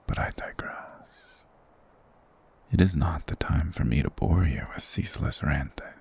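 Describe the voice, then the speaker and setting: A man as a very deep, gravelly forest monster. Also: exaggerated voice. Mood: softly probing. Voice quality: breathy, gravelly whisper. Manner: formal, slow, measured, softly persuasive. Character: mysterious soft-spoken male monster hermit of the woods, courteous, eerie. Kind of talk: ASMR fantasy roleplay.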